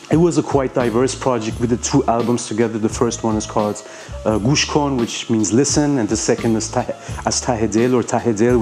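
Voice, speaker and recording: A man's voice: medium pitch, a little mellow. A man from Iran whose first language is Persian, a rapper answering interview questions in a hesitant way, with many fillers and restarts.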